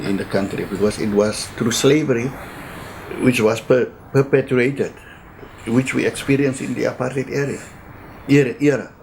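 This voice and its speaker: A man in his eighties with a South African accent, giving a slow, halting oral-history testimony with many repeated words and pauses. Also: medium pitch, a little mellow, rough recording. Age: 80s. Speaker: interviewee sharing family history. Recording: recording with clear background noise.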